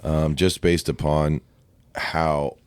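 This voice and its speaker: Deep-voiced man from Ohio, very low. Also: slow pace, smooth, dry recording. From Ohio, USA. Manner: relaxed and chatty, peppers with "dude" and "right?". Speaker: guest singer-songwriter. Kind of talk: casual podcast conversation.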